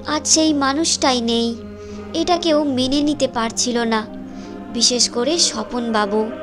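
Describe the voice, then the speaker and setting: Higher-pitched woman narrating a story.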